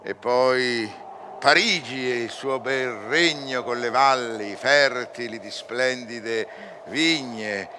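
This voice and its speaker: A man's voice: mid-range voice, slow pace, lightly acted. A male actor playing an eager, pleasure-hungry scholar, declaiming and savouring his words in a theatrical radio drama. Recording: clear background noise.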